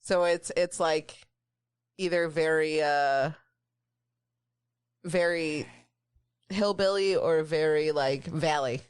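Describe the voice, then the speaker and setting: A woman's voice: low-pitched, steady tone, slow, long pauses, clear, resonant tone, no echo, studio quality. A woman with a Midwestern American accent, co-hosting a podcast and chatting with a giggly manner. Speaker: podcast co-host. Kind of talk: casual chat.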